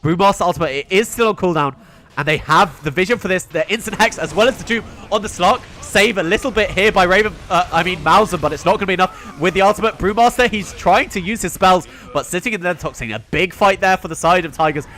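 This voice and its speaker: A man's voice: sits high, fast, pushed and bright, slight background noise. A young British man giving solo play-by-play commentary on a live esports stream, chatting with his viewers between plays. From Britain. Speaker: esports caster.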